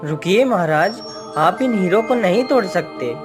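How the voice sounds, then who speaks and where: A performer voicing a clever male thief in a Hindi moral-story cartoon, speaking in a measured, persuasive way. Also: high-pitched, lively intonation, music in the background. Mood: assured.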